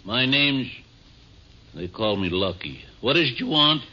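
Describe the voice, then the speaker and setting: An actor playing a cranky, suspicious old man with a husky voice and folksy, ungrammatical speech, in 1950s radio drama style. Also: mid-range voice, lively intonation, a little slow, sharp tone, full character voice. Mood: grumpy, suspicious. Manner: folksy, ungrammatical drawling dialect. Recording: muffled 1950s radio recording.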